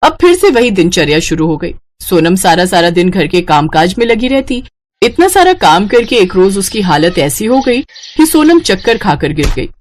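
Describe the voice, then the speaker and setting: Mid-pitched woman storyteller. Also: big pitch swings, normal speed. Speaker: narrator. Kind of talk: scripted moral-story narration.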